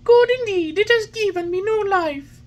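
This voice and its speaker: A woman doing a shrill whiny puppet boy. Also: moderate pace. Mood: whining. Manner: shrill, whining, loud.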